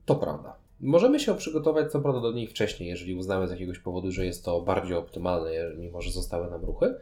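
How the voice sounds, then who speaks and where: Podcast host, male, lowish voice, wordy and explanatory, conversational review delivery. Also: fast talker, warm tone. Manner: explanatory, wordy, long sentences. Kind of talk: conversational board game review.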